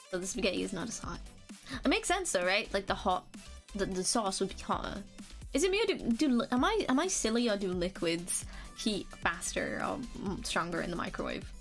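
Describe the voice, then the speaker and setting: A young woman, chatting casually on a live stream, rambling, restarting sentences and laughing now and then. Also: on the high side. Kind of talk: casual chat reading comments.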